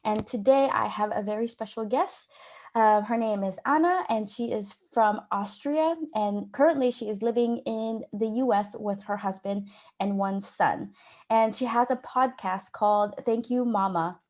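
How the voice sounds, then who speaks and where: A woman's voice: medium pitch, moderate pace, a little mellow. Woman hosting a podcast interview. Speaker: podcast host. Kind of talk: conversational interview.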